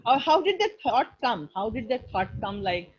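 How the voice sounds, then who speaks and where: Effusive Indian woman teacher, higher-pitched voice. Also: very animated, normal speed, breathy edge. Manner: effusive, repeats phrases. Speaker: teacher leading an online class. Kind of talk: enthusiastic spoken feedback to a class. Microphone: video call. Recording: dull lo-fi video call audio.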